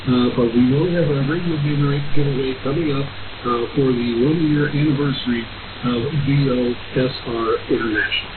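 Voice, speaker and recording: A man hosting an informal shortwave radio broadcast, reading announcements in a halting way with frequent uhs and pauses. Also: medium pitch. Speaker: radio host. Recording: hissy, muffled shortwave radio reception.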